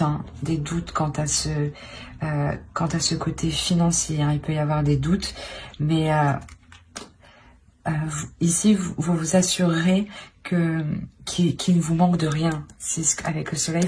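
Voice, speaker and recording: Woman reading tarot cards, low voice, lots of euh and restarts, faint hiss behind. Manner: hesitant, many "euh" and restarts, soft-spoken asides. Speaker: tarot reader. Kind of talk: casual tarot reading to camera.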